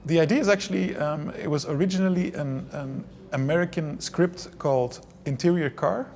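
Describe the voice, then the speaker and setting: A man's voice: on the high side, moderate pace, over loud music. A man from Belgium whose first language is Dutch, answering interview questions in a hesitant way with many restarts. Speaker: film director.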